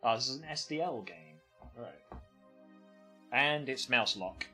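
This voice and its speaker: A man's voice: mid-range voice, lively intonation, unhurried, pushed and bright, faint music behind. A man streaming and commenting casually on games, with offhand, meandering remarks. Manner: offhand, meandering, muttering asides.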